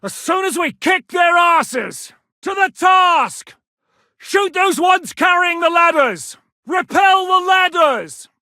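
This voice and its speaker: A fierce, blunt warlord commander. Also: male voice, very high voice, animated, slow, pushed and bright, no echo, raised voice, clean studio sound, heavily acted. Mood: battle-roaring. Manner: commanding, blunt, swears in battle. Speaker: military commander character. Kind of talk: video game voice lines.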